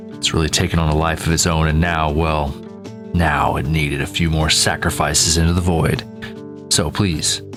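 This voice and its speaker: A man with a low voice delivers a friendly, conversational outro, thanking listeners and reading credits. Guitar music plays clearly beneath him. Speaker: show producer giving outro. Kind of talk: scripted outro announcement.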